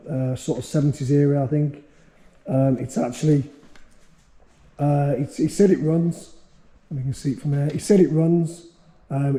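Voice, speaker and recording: A man with a hoarse, fading voice from a sore throat, hosting a casual workshop vlog and rambling with many fillers. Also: on the high side, dark tone, rough recording. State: losing his voice, sore throat. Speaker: workshop owner and channel host.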